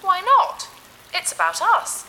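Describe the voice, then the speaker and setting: Bright, excitable childlike AI. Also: female voice, extremely high pitch, slow pace, a little hiss or hum, heavily acted, rough recording. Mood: excited, indignant. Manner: refers to itself by name, naive, blunt. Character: childlike, excitable ship AI with cheerfully villainous streak. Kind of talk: sci-fi audio drama acting.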